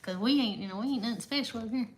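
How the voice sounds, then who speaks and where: A woman's voice: on the high side, very fast, a little hiss or hum. A woman, co-hosting a casual livestream and reading viewers' comments in a chatty, giggly way. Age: 50s. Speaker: co-host of a livestream.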